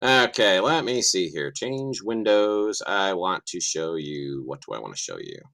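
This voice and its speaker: A man's voice: sits low, measured pace, gravelly. A middle-aged man, a guest game master, chatting casually on a livestream call and cursing offhandedly as he hunts through his screen. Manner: lively, swears casually, mutters while searching his screen.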